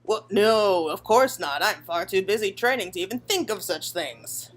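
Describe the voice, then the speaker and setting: A woman voices an earnest young man, a doting brother in a video game. His delivery is lively in pitch, with quiet music behind. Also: normal speed, close to an everyday voice. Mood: flustered.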